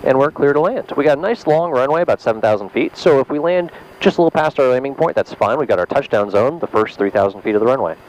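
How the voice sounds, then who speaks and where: A man in his thirties teaching in a small airplane cockpit, calmly talking through each step over a headset mic. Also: mid-range voice, quick pace, hardly any pauses, slight background noise, rough recording. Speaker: flight instructor. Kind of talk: in-flight instruction. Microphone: aviation headset boom mic.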